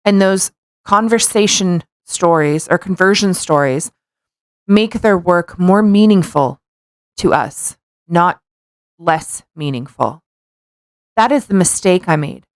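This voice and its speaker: A woman with a fairly low voice, recorded dry and without room sound. She speaks as a podcast co-host, moving between casual chat and reading written text out loud. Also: slow pace, long pauses, dry recording.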